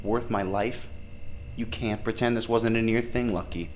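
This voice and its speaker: An actor playing an embittered, hotheaded young burnout rebel in a radio drama, dramatic and sarcastic. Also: male voice, lowish voice, fairly even intonation, normal speed, slight background noise, natural voice. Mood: irritated, uneasy.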